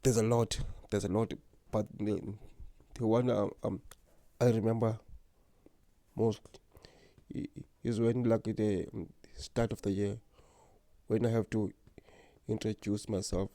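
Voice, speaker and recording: Soft-spoken young man with a stutter. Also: lowish voice, level tone, unhurried, long pauses, no echo. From South Africa. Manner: stutters, with repeated words and blocks. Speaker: channel host. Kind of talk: personal vlog talk to camera.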